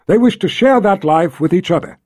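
Audiobook role, male reader: proud lordly officiant, ceremonial and emphatic, raised pitch with big swings, slightly rough tone. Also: expressive, fairly quick, darkish tone. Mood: solemn, proud. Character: proud, solemn lord officiating a wedding. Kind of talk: audiobook character acting.

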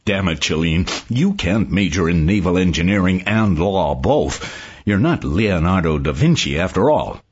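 A man voicing an exasperated old male professor in an audiobook, gruff and scolding. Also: low-pitched, animated, moderate pace, dull audio, pitched below the performer's own voice.

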